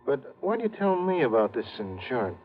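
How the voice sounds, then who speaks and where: Guarded gangster boss, 1950s radio play, mid-pitched voice, lo-fi broadcast. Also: male voice, very animated, brisk. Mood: smooth, wary. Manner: cautious, smooth-talking. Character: smooth, guarded male loan shark boss. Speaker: villain. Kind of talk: 1950s radio drama acting. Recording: dull lo-fi old radio broadcast with faint hiss.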